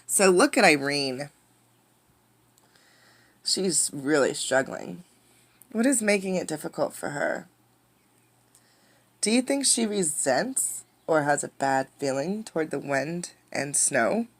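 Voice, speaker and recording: Female teacher for picture-book narration: lowish pitch, dry close sound, expressive, questioning. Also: no room sound. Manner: expressive, pausing to ask children questions. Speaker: teacher reading aloud. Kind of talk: interactive storybook read-aloud.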